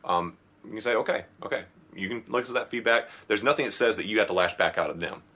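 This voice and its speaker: A man with a lowish voice co-hosts a casual podcast. He talks through advice in an easygoing way and fills his sentences with "you know". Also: fast talker, bright, forceful tone. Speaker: podcast co-host.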